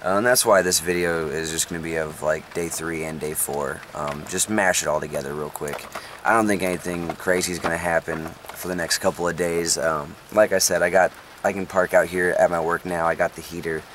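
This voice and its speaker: A young man with a low, deep voice talks casually into a close camera from inside a parked van. He rambles, filling his sentences with ums and likes. Faint rain and vehicle noise sit behind him. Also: fairly even intonation, brisk, fairly bright tone. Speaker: vlogger.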